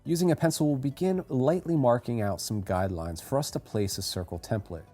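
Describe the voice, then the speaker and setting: Mellow-voiced man narrating a tutorial. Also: mid-range voice, a little fast, faint music behind. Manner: clear step-by-step instruction. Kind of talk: scripted how-to voice-over.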